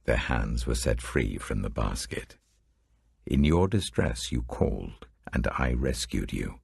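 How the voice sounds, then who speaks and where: A man acts out a mighty male God in a scripture reading, extremely low and husky. The words come grave and weighty, with solemn command in every phrase. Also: sing-song, a little slow, a little mellow, no room sound, studio quality, exaggerated voice.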